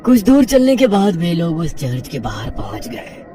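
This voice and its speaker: A man narrates a scripted horror tale. His delivery moves widely in pitch. The voice sits a little back from the mic, with music clearly behind it. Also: wildly swooping pitch, normal speed, distant mic.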